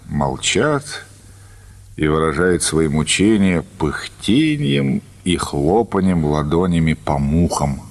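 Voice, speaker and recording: Deep, unhurried male story narrator. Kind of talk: dramatic scripted narration of a literary story.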